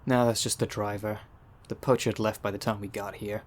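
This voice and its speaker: A man's voice: fairly low pitch, flat delivery, brisk, natural voice. A man playing a weary, irritable law officer in an audio roleplay, dry and exasperated. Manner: exasperated, dry, matter-of-fact.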